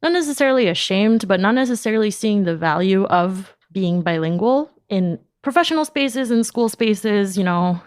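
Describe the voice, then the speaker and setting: A woman from New York, a native Spanish speaker, answering interview questions with animated storytelling and frequent laughter. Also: medium pitch, normal speed, few pauses, smooth, no room sound, clean studio sound. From New York, United States. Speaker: podcast company founder, guest.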